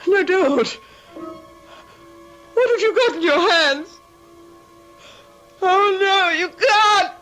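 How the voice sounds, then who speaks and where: A woman's voice: very high voice, very slow, long pauses, a bit bright, soft background music, heavily acted, poor audio. An actress playing a terrified woman confronted by an intruder in an old-time radio drama, panicked and shrieking.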